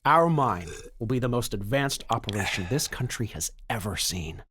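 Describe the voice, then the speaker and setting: Male actor for a persuasive corporate dealmaker: salesman-like, confident, very lively intonation, mid pitch, audio drama. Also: moderate pace. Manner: smooth-talking, salesman-like. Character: slick, confident mining company banker, persuasive dealmaker.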